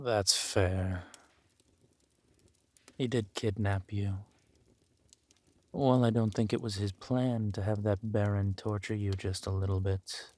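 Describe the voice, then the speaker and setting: A man playing a ruthless, vengeful warlord in an audio roleplay, speaking deliberately and with menace. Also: fairly low pitch, a little mellow, no room sound. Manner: slow, deliberate, menacing delivery. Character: ruthless villain warlord lord, vengeful, possessive lover. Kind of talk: dramatic villain roleplay acting.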